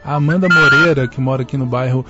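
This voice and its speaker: A man's voice: medium pitch, steady tone, fast. A man hosting a live radio interview, chatting and reading out listener messages. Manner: conversational, reads out listener messages. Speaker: radio host. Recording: dull lo-fi radio audio.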